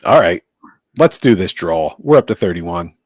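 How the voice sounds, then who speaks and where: A man's voice: low voice, animated, normal speed, no echo, clean studio sound. A man hosting a casual podcast chat from New Jersey, joking and rambling with lots of fillers. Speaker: host.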